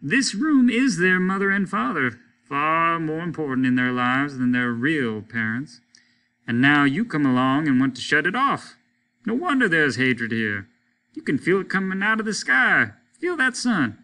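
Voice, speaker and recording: A blunt middle-aged doctor lectures with emphatic, preachy conviction, as a male reader voices him. His voice sits a little raised and sounds bright and forceful. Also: a little high, big pitch swings, measured pace, pitched above the performer's own voice, heavily acted, rough recording. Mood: lecturing.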